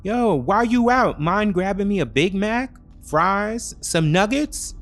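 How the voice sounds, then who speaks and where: A man's voice: sits high, lively intonation, slow pace, faint music behind, voice pushed up, heavily acted. A man voicing a casual boyfriend with false concern in a story, offhand and eager to sound helpful. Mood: casual, calling out.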